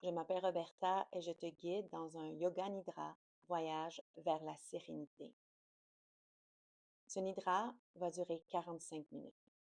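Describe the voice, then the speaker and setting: Mellow, low-voiced woman guiding relaxation. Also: gravelly, no room sound. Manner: soothing, slow, unhurried instruction. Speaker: yoga nidra guide. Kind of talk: guided relaxation meditation.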